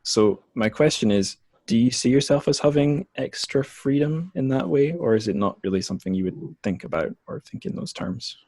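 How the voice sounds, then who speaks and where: A man's voice: mid-range voice, lively intonation, moderate pace, hardly any pauses, a little mellow, breathy edge, dry recording. A man hosting a podcast interview, asking casual questions in a thoughtful, hesitant way.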